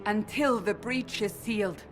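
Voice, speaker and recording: A woman's voice: medium pitch, measured pace, over music, lightly acted. An actress playing a stern, guarded warrior woman in a video game, grave and clipped in manner. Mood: solemn. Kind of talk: dramatic video game acting.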